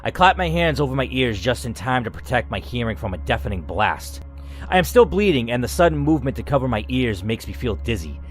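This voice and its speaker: A man's voice: medium pitch, big pitch swings, a little fast. A man reading an interactive story aloud as a let's player, dramatic, with casual asides. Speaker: video game let's player and narrator. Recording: music under the voice. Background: music.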